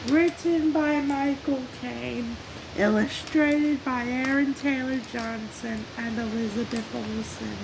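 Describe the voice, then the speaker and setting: A performer voicing a female racing driver who reads a children's story aloud in deliberate, spaced-out phrases, on a hissy recording. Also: medium pitch, steady tone, creaky, voice pushed up. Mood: presenting, measured. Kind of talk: scripted story narration with self-introduction. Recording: hissy, noisy home recording.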